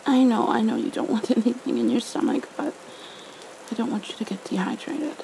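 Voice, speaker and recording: A woman speaking in a hushed, tender, nurturing way, as in an ASMR bedtime roleplay and reading. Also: on the high side, sing-song, fairly quick, vocal fry, low-quality recording. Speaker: ASMR roleplayer, storybook reader. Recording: faint rain-like background noise.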